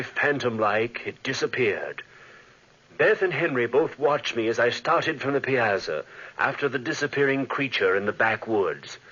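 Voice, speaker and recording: An actor playing a haunted, guilt-ridden scientist in melodramatic 1940s radio drama style. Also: male voice, mid-range voice, bright, forceful tone, breathy edge, full character voice. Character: haunted, guilt-ridden scientist telling his own tale, tense and evasive. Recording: muffled 1940s radio recording.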